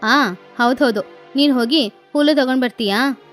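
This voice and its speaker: A woman's voice: high-pitched, moderate pace, soft background music, close to an everyday voice. A woman voicing a lazy, jealous mother crow who mutters and grumbles sulkily. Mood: grumbling jealous. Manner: sulky, muttering to herself.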